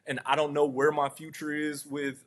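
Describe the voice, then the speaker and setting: A man giving conversational interview answers as a guest, in a halting, thoughtful and self-effacing manner. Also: on the high side, little pitch movement. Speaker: interview guest, car dealership internet director.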